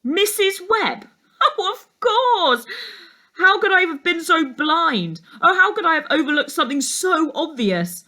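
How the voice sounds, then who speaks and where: A woman's voice: extremely high pitch, very animated, unhurried, pushed and bright, voice pushed up, heavily acted. A woman voicing a brooding, burdened male detective in a storybook, speaking earnestly and emphatically. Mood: amazed, excited.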